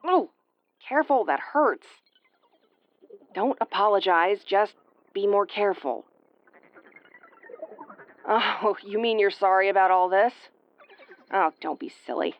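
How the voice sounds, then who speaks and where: A woman's voice: medium pitch, expressive, measured pace, long pauses, no echo, muffled recording, lightly acted. Woman acting a brash, tomboyish tsundere starship commander. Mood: softening. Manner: brusque, sweary, easily flustered. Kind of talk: audio roleplay acting, spoken to the listener.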